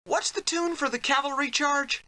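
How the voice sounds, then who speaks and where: An actor playing a curious young cowboy hero in a dubbed adventure game, asking casual questions. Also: male voice, very high voice, moderate pace, sharp tone, full character voice.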